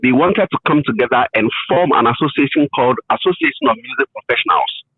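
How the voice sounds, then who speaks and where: A middle-aged man with a Ghanaian accent telling his story in an interview, in deliberate phrases with emphatic repetition. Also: on the high side, big pitch swings, gravelly, husky, no echo. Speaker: interview guest. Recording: thin phone-like line, muffled detail.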